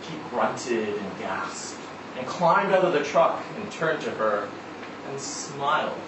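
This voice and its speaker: A man reading a literary short story aloud at a live event in a measured, expressive way. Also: mid-range voice. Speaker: story reader. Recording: roomy, distant, noisy live event recording.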